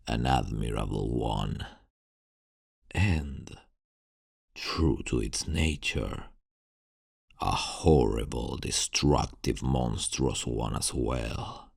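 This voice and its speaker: A man playing a mysterious, courteous, brooding vampire in an ASMR roleplay, speaking in formal, deliberate phrases with a deep, gravelly voice. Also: a little mellow, dry recording, clean studio sound, heavily acted. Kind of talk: ASMR roleplay, slow scripted monologue.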